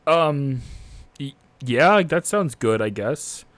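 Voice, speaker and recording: Nervous rookie militia captain, played by a male game master, hesitant, slow-paced, unsure of himself. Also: mid-range voice, animated, a bit bright, dry recording. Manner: halting, stammering, hesitant. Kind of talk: improvised in-character roleplay.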